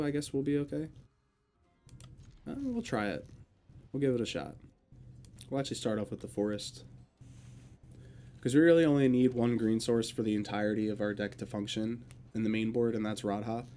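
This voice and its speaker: A young man with a congested voice and a sore throat, casually talking through a digital card game as he plays and thinks out loud. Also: medium pitch, moderate pace, pauses often, a little hiss or hum. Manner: relaxed, thinking aloud while playing. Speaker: gaming video creator.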